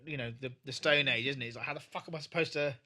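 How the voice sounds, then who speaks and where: A man co-hosting a podcast in casual banter, excitable, emphatic and prone to swearing. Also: medium pitch, rapid-fire. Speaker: podcast co-host.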